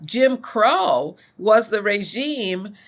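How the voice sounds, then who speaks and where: A woman in her sixties, a scholar being interviewed, speaking in a measured, thoughtful way. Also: mid-range voice, very animated. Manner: measured, thoughtful, occasional soft laughs. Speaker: guest scholar. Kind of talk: interview conversation.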